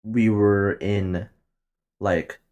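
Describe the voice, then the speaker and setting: A man's voice: on the low side, steady tone, extremely slow, a little mellow. A man about to turn twenty, hosting a solo podcast and rambling loosely with plenty of swearing and laughing at his own jokes. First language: Tagalog. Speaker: podcast host. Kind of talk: casual solo podcast monologue.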